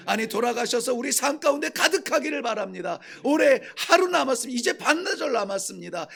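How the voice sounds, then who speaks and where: Man, pastor, fiery sermon, high animated pitch, loud peaks then hushed. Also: gravelly. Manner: impassioned preaching, swings from shouting to hushed.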